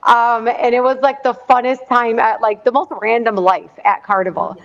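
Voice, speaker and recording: A woman's voice: a little high, steady tone, normal speed. A woman who works as a nurse, chatting as a guest on a video call. She is bubbly and rambling, with many ums. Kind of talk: casual chat. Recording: dull lo-fi video call audio.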